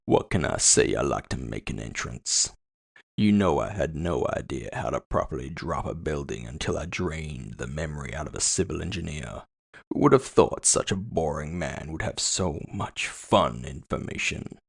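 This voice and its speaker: A male narrator's take on a theatrical cyberpunk villain in audio fiction. The voice sinks very low into a hollow, gravelly murmur. It moves slowly, with mocking courtesy and gloating menace. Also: lively intonation, heavily acted. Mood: smug, gloating. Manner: slow, mockingly courteous, boastful. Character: gloating, theatrical masked male villain with mind-control powers.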